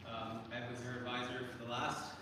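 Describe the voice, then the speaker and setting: A man giving an informal introductory speech as a faculty advisor, halting and searching for words. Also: medium pitch, flat delivery. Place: large performance hall. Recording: very echoey hall, distant mic, clear background noise.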